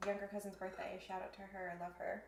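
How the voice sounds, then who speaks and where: A woman in her twenties co-hosting a casual chat, easygoing and quick to laugh along. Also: medium pitch, level tone, darkish tone. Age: 20s. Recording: echoey room with faint noise.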